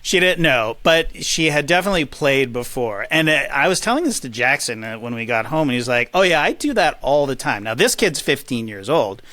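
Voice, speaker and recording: A man's voice: medium pitch, sing-song, brisk, few pauses, pushed and bright, no room sound. A middle-aged man chatting casually as an agreeable, amused podcast co-host. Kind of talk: casual chat.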